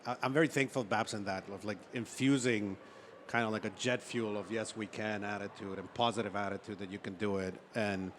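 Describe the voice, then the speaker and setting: A man from Ecuador talking as a startup founder being interviewed, telling stories in an informal, halting way with frequent check-in words. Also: fairly low pitch, fairly even intonation. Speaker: startup founder and chief executive, interview guest. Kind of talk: conversational storytelling in an on-stage interview. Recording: noticeable background noise, room ambience.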